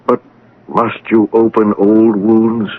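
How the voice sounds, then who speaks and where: An actor playing a gentle, apologetic older father speaking from beyond death in an old-time radio drama, mild and patient. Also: fairly low pitch, level tone, slow pace, narrow, tinny audio, a little hiss or hum. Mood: gentle, conciliatory. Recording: band-limited radio drama recording.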